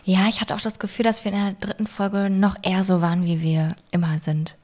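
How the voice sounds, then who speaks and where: A young woman co-hosting a casual podcast, teasing and quick with short interjections. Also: medium pitch, little pitch movement, a little mellow. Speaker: podcast co-host. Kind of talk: casual couple podcast chat.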